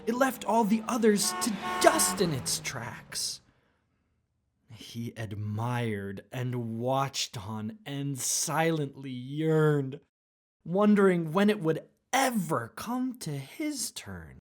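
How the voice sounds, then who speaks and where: Female storybook narrator, very low register, lively swooping intonation, unhurried pace for rhyming read-aloud. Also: faint music behind.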